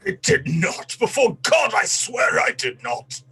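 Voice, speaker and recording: Man acting anguished, higher-voiced disgraced English colonel. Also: wildly swooping pitch, unhurried, vocal fry, sharp tone, slightly rough, raised voice, exaggerated voice. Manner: agitated, pleading, confessional. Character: disgraced English colonel, cornered traitor, anguished, self-pitying. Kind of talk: radio play acting.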